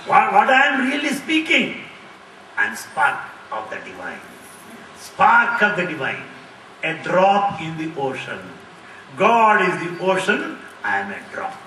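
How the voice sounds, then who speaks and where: A middle-aged man answering audience questions in a lively talk, repeating key phrases and laughing often. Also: sits high, measured pace. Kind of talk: lively lecture with jokes. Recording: echoey hall, distant mic, faint hiss.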